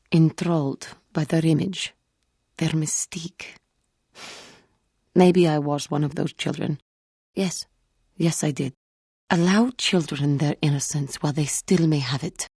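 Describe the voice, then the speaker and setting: Low, solemn alien warrior woman. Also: dark tone, breathy edge, no echo, clean studio sound, exaggerated voice. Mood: wistful, guarded. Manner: measured, formal, guarded, hesitant phrasing. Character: solemn, wounded young feline alien Jedi woman. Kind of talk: video game character dialogue.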